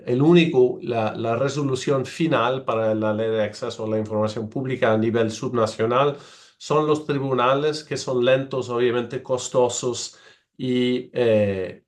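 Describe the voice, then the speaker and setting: Slow, hesitant male panelist. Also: medium pitch. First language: Portuguese. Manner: slow, halting, many fillers and restarts. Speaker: researcher and panelist. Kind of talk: virtual panel presentation. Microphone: video call.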